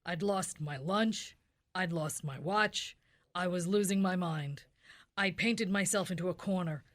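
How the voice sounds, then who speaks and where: An actress as a hard-boiled female police detective in a spoof radio drama. Her voice sits a little low. She delivers everything deadpan, clipped and by the book. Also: unhurried.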